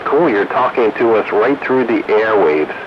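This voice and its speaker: A man's voice: lowish voice, lively intonation, moderate pace, highs cut off. A man hosting a casual, jokey round-table chat over two-way radio. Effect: two-way radio transmission. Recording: CB radio with clear static. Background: radio static.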